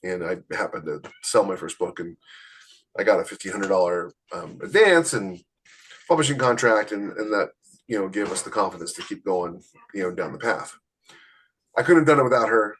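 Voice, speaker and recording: A man's voice: fairly low pitch, moderate pace, a bit bright, a little hiss or hum, low-quality recording. A man chatting casually as a podcast co-host, giving writing advice in a blunt, emphatic, opinionated way.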